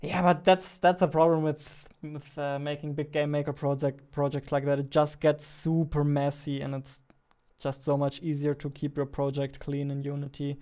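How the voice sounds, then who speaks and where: A man's voice: a little high, flat delivery, moderate pace, a little mellow, clean tone. A man in his twenties chatting casually and playfully on a livestream as he works, close on a studio mic. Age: 20s. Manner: playful, joking. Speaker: game developer streaming. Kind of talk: casual livestream chat while working. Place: indoors, small home room. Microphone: studio microphone on boom arm, headphones.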